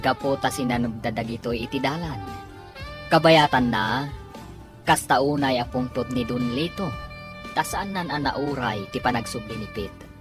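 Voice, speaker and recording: A man's voice: mid-range voice, moderate pace. A man with an Ilocano accent reading scripted, dramatic narration as a radio drama announcer. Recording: radio broadcast with music behind.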